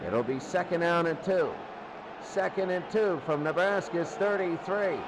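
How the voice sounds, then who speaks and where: High-voiced male football play-by-play announcer, noisy recording. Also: a little slow. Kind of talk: live American football play-by-play commentary.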